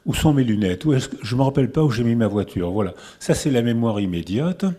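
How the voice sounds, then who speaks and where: Older male lecturer, French accent, husky and dark-toned, loose conversational delivery. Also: mid-range voice, expressive, fast. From France. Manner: conversational, with restarts and rhetorical questions. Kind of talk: public lecture to a live audience.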